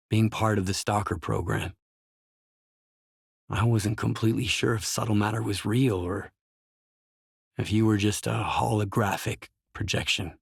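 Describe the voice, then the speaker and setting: An actor playing a gruff, guarded, weary male video game protagonist, speaking terse, measured scripted lines in a husky voice. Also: fairly low pitch, dry recording, studio quality, exaggerated voice.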